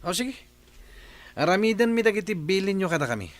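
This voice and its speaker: A man's voice: high-pitched, sing-song, a little fast, dry recording. A man voicing a henchman who speaks to his boss in a hesitant, deferential way in a radio drama. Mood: uneasy. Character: uneasy henchman, kidnapper's helper.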